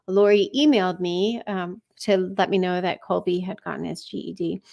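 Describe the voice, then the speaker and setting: A woman's voice: on the low side, steady tone, moderate pace, gravelly, darkish tone. A woman hosting a true crime live stream, giving informal, conversational commentary with wry asides. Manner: conversational, wry asides, acts out read messages.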